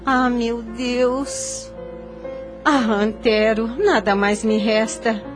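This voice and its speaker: A woman's voice: on the high side, fairly even intonation, drawn-out words. A frail young mother in anguish speaks in formal, old-fashioned Brazilian Portuguese phrasing. It is earnest radio soap melodrama, with music faintly underneath. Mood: sorrowful, weary. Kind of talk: radio soap opera acting.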